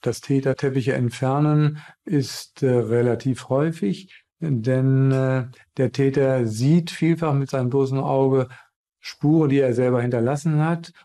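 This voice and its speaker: A man with a mellow, medium-pitched voice explains as a forensic expert. His interview delivery is hesitant and unscripted.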